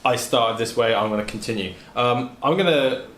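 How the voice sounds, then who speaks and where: A man chatting casually as a tabletop game player, in halting, broken phrases. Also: medium pitch, fairly bright tone. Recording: echoey room with faint background noise.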